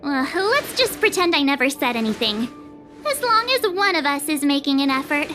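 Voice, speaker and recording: A very high, lively girlish voice over prominent game music. This teenage traveler is bubbly and talkative, with a playful, teasing streak. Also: moderate pace, heavily acted. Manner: chatty, expressive, playful. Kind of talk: video game cutscene acting.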